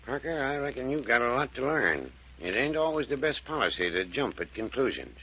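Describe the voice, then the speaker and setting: Raspy, folksy old country doctor. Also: male voice, medium pitch, big pitch swings. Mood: folksy, chiding. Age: older. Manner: folksy rural drawl, avuncular, unhurried scolding. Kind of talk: 1940s radio serial acting. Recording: muffled old-time radio recording.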